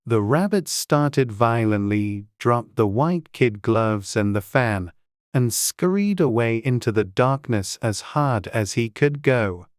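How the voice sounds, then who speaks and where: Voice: mid-range voice, expressive, takes it slowly, no room sound, clean studio sound. A narrator reading a children's storybook as a scripted audiobook.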